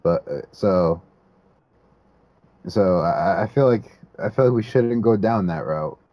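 Hesitant male co-host, dark low voice. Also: expressive, slow, no echo. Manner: hesitant, restarts and repeats words. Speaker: podcast co-host. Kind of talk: casual podcast chat.

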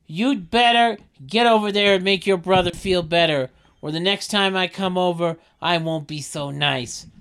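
Guy doing a high loud scolding sitcom mom, indignant, really leans on the words. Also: moderate pace, hardly any pauses, clean tone. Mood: threatening. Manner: indignant, emphatic. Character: scolding, indignant mother of grown sons, sitcom. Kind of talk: sitcom table read, exaggerated delivery.

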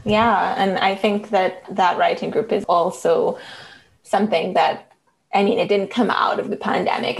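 A woman's voice: fairly low pitch, creaky. A woman from Trinidad with a Trinidadian accent, a graduate researcher telling her fieldwork story in a reflective, articulate way. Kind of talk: conversational interview storytelling.

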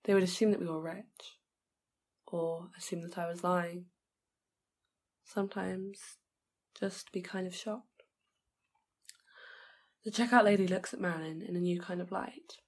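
Young woman, low husky voice, poet, poem recital. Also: fairly even intonation, measured pace, pauses often, darkish tone. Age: young adult. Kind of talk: poetry reading.

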